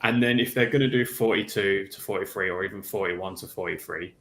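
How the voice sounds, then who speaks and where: A man's voice: deep voice, fairly quick, a bit bright. A man giving his opinions casually as a panelist on a hobby livestream. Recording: echoey room on livestream.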